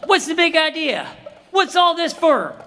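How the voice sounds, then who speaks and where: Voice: extremely high pitch, sing-song, a little slow, bright, forceful tone, in a large hall, slight background noise, exaggerated voice. An actor playing a plucky, earnest young frontier son in a 1930s radio western, with folksy, exclamatory talk. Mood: alarmed, indignant.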